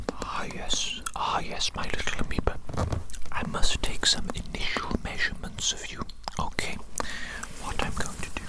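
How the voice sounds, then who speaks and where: An evil mad scientist doctor, as put on by an ASMR performer, speaks entirely in a breathy whisper. The delivery is musing and doting, circling back over the same phrases with obsessive fascination. It is an intimate roleplay voice. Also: close to an everyday voice, low-quality recording.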